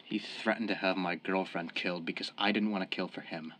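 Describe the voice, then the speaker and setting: A man's voice: low voice, fairly even intonation, fairly quick, a bit bright. A man playing a desperate young family man turned mob killer in a radio crime drama, earnest and defensive. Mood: subdued, weary. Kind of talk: old-time radio crime drama acting.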